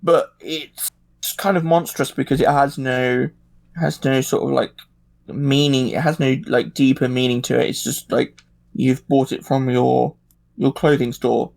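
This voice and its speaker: A man's voice: mid-range voice, steady tone, measured pace, creaky, darkish tone. A man in a casual discussion, speaking hesitantly and thinking aloud as he goes. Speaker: podcast regular.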